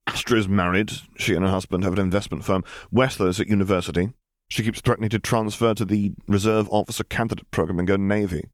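A man's voice: on the low side, fairly even intonation, fast, no echo, studio quality, lightly acted. A man voicing an earnest, sheepish young male student who rambles chattily and self-deprecatingly, in an audiobook story. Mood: confiding.

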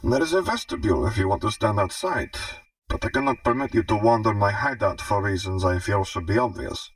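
Man voicing a deep, coldly clinical male inventor. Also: animated, a little fast, no echo, recorded from a distance. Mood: stern, unyielding. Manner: precise, formal, clinical.

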